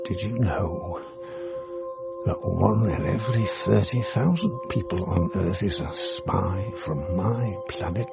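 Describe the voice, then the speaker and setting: An eccentric father who is secretly an alien envoy. He is grandiose, formal and earnest, as in a radio comedy drama. The sound is muffled, with music clearly playing behind him. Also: low-pitched, slow pace, full character voice. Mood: hushed, mysterious. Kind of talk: radio drama monologue, recorded report. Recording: muffled band-limited recording under music.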